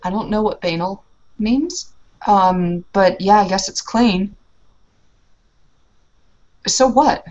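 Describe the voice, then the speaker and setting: A woman playing an anxious, earnest young woman in a tabletop game, halting and full of questions. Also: mid-range voice, a little mellow, muffled recording, lightly acted. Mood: puzzled, concerned.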